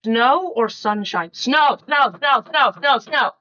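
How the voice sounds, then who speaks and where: A woman's voice: fairly high pitch, lively intonation, slow, pushed and bright, highs cut off. A female speaker reading out quiz questions in a casual podcast style.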